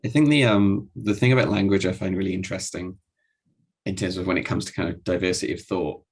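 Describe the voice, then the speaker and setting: Gravelly man in his thirties. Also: low voice, fairly even intonation, fast talker. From Wales. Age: 30s. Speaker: discussion participant. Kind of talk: casual discussion.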